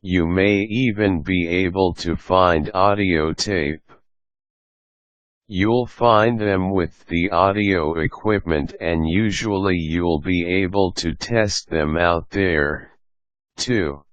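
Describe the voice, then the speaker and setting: Slow, deep-voiced man narrating, dry sound. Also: no room sound, highs cut off. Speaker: narrator of a how-to guide. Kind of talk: scripted instructional narration.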